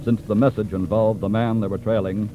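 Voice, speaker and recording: An actor narrating a 1940s radio crime drama in a grave, measured, authoritative way. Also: male voice, lowish voice, little pitch movement, phone-quality sound, natural voice. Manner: solemn, measured. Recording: vintage radio broadcast, music underneath.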